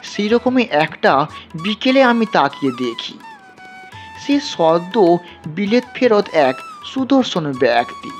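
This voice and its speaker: Male storyteller, dramatic scripted narration, lively swings in pitch, music underneath. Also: slow, pauses often. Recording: dull, lo-fi sound.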